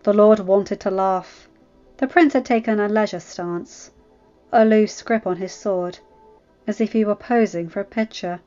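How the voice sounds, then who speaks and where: A woman reading fantasy fiction aloud in an expressive scripted narration. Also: mid-range voice, a little slow, highs cut off, soft background music. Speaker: fan fiction audiobook reader.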